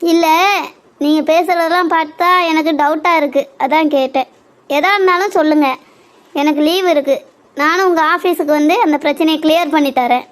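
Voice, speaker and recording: A woman's voice: high-pitched, brisk, natural voice. A woman voicing a suspicious, businesslike wife in a cartoon parody, questioning her husband firmly. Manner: questioning, firm.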